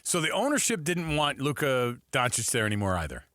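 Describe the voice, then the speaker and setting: A man's voice: medium pitch, very animated, brisk, a bit bright, no echo, studio quality. A man with an American accent co-hosting sports talk radio, emphatic and conversational.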